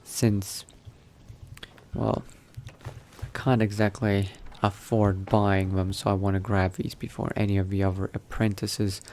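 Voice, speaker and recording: A man narrating his role-play gameplay in character, musing to himself in an unhurried, gravelly voice. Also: on the low side, warm tone, slight background noise. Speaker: gaming video host, role-playing player.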